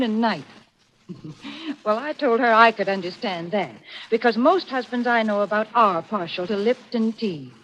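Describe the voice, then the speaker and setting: A woman's voice: fairly high pitch, dull audio, close to an everyday voice. A woman playing a chatty, folksy spokeswoman in a 1940s radio advertisement, cheerful and emphatic. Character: chatty, cheerful female tea saleswoman. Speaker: sponsor's spokeswoman. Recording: slightly noisy vintage radio recording.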